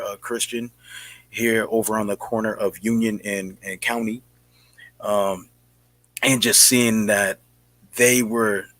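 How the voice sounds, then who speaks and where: Earnest American man with a low voice. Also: a bit bright, dry recording, low-quality recording. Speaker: podcast co-host. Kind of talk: casual podcast talk.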